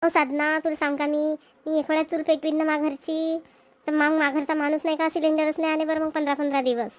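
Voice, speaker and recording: A high-pitched cartoon village housewife, played by a woman, harried and full of complaints. She chats and grumbles repetitively in a Vidarbha Marathi accent. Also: level tone, a little fast, natural voice. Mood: complaining. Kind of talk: amateur cartoon comedy dialogue.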